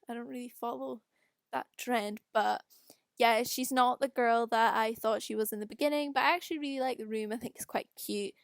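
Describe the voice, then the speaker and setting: Young Scottish female creator, casual rambling voice-over, creaky edge, dry home recording. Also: on the high side, no room sound. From Scotland. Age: young adult. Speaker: video creator doing a voice-over. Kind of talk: casual unscripted rambling chat. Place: quiet room at home.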